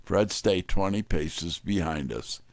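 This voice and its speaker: A man's voice: deep voice, expressive, slow pace, gravelly, darkish tone, no echo. A man giving a scripted, wry first-person hardboiled detective narration.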